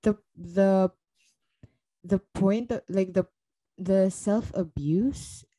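A woman's voice: mid-range voice, extremely slow, dark tone. A young woman co-hosting a podcast, giving exasperated, opinionated takes in a casual book discussion. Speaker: podcast co-host.

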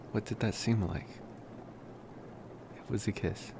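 A man playing a slow-talking, tender vampire boyfriend. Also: lowish voice, background noise. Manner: soft, hesitant, affectionate, with sighs and pauses. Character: tender, smitten young male college vampire boyfriend. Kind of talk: intimate ASMR roleplay.

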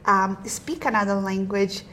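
A woman's voice: medium pitch, a little slow, pushed and bright, slight background noise. A woman whose first language is Portuguese, a state representative hosting a casual conversation about education bills, talking animatedly with shifting pace.

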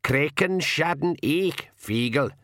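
A man's voice: a little high, lively intonation, slow, fairly bright tone, dry recording, studio quality, voice pushed up. A man voicing a crafty, wizened old male pixie in a storybook, with a cracked voice and a Scottish accent. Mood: solemn, commanding. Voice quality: cracked, aged voice.

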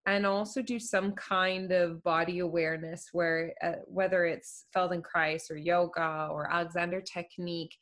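A woman hosting a podcast, explaining things and giving advice in a casual chat. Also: medium pitch. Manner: advising, explanatory. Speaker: podcast host.

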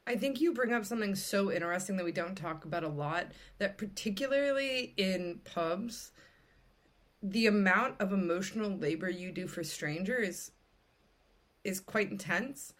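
A woman's voice: mid-range voice, normal speed. A woman hosting a casual conversational interview, talking in a candid, informal and emphatic way. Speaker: podcast host.

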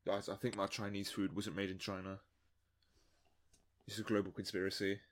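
A man's voice: sits low, completely flat delivery, a little fast. A man from London chatting casually as a podcast co-host, cheeky and irreverent. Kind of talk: casual joking group chat.

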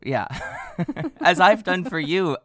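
A man with a hoarse voice co-hosting a podcast, chatting playfully and poking fun at himself. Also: high voice, big pitch swings, pushed and bright, no room sound, clean studio sound. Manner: playful, self-mocking, quick asides. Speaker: podcast co-host. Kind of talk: casual chat.

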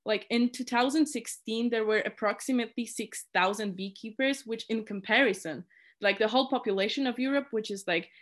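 A woman's voice: fairly high pitch, brisk. Chatty young Polish woman. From Poland. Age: young adult. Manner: chatty, many "like" fillers and restarts. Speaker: committee chair. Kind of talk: casual interview answers.

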